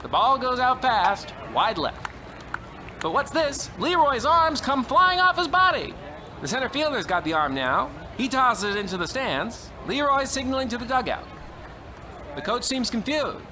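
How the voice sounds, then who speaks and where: A man playing an excitable, melodramatic baseball radio announcer with a theatrical, exclamatory delivery in an old-time radio comedy. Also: very high-pitched, moderate pace, background noise. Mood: astonished. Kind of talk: old-time radio sports commentary parody.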